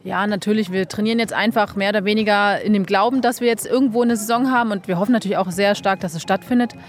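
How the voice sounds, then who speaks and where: A woman's voice: mid-range voice, steady tone, fast, faint music behind. A young woman, a bobsleigh pilot, answering interview questions frankly and casually, with many fillers. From Germany.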